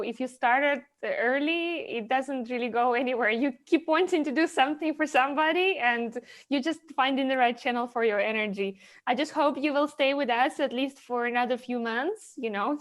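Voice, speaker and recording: A woman hosting an online volunteer meeting over a video call, thanking people and introducing speakers with many fillers and restarts. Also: high-pitched. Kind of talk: casual video call chat.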